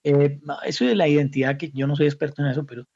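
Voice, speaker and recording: Gravelly Colombian man, halting and reflective. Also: a little high, fairly even intonation, darkish tone. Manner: halting, repeats words while thinking. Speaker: academic guest, institute director. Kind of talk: reflective interview conversation. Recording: slightly dull, muffled audio.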